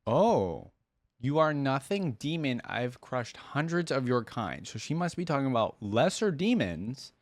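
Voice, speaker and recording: A man's voice: medium pitch, sing-song, unhurried, no echo. A man hosting a reaction video, musing aloud and addressing viewers in casual, conversational commentary.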